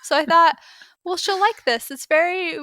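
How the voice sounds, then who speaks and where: A woman's voice: extremely high pitch, moderate pace, sharp tone, dry recording. A woman in her thirties or forties, a podcast co-host chatting casually and animatedly about books she has read. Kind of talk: casual book chat.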